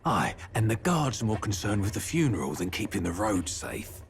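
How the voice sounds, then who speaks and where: An actor playing a gloomy, worldly traveller in a fantasy video game, speaking with grave foreboding. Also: male voice, lowish voice, sing-song, normal speed, gravelly. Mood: ominous. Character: gloomy, worldly male traveller warning of trouble.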